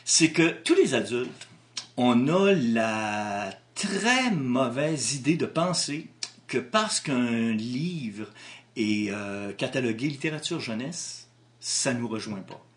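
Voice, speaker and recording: A man's voice: mid-range voice, lively intonation, slow pace. A man with a Québécois French accent, an author answering interview questions in a thoughtful way, with frequent restarts. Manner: thoughtful, with many restarts and hesitations.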